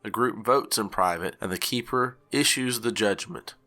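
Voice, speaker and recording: A man reading a scripted announcement, setting out group rules in a measured way like a charter. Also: fairly low pitch, bright, forceful tone, faint music behind. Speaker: channel co-founder.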